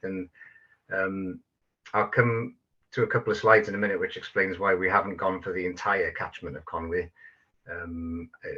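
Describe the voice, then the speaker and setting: A Welsh man talks over a video call, his low voice bright and forceful. He halts often with fillers and restarts. The audio is dull and lo-fi. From North Wales. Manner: hesitant, many fillers and restarts. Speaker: guest speaker, project manager. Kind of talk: online talk presentation.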